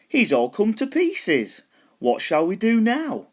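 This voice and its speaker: A man voicing an excitable, puzzled, playful big skeleton from a children's storybook, with an exclamatory, wondering delivery. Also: high-pitched, slow pace, pitched above the performer's own voice, heavily acted. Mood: surprised.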